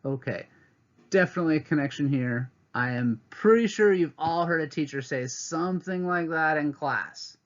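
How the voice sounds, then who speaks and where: A man's voice: fairly high pitch, normal speed, lo-fi sound. A man teaching a read-aloud lesson to children, reading a picture book and adding teacherly asides from home.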